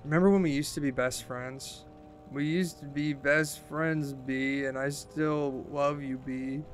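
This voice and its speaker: A man's voice: medium pitch, brisk, a little mellow, faint music behind, close to an everyday voice. A man voicing a drunk young college dropout from a game, crude and maudlin, rambling in slangy, self-deprecating bursts.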